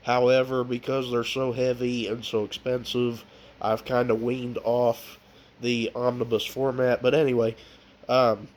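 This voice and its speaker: Slow, stuffy-nosed man chatting casually. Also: medium pitch, little pitch movement. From United States. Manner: slow and unhurried, with frequent ums and uhs. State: stuffy nose from a cold. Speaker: collector showing off his books. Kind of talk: casual vlog talk.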